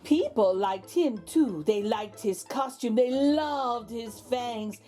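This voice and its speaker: A woman's voice: a little high, takes it slowly, dark tone, soft background music. A woman narrating a children's picture book aloud as host, with theatrical, suspenseful emphasis. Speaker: storybook reader, host.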